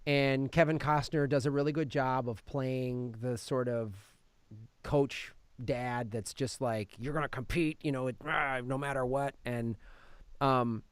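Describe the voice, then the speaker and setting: A man hosting a casual film review chat as a comedian, telling things in an animated way with mimicked quotes. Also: mid-range voice, unhurried, smooth, no room sound. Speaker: podcast host and film reviewer; touring stand-up comedian.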